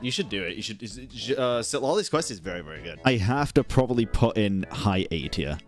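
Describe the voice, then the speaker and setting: A man's voice: fairly low pitch, lively intonation, fairly quick. Blunt, slangy male streamer over music. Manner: blunt, frequent swearing, slangy. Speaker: streamer ranking game quests. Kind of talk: casual opinionated live stream commentary. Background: video game music.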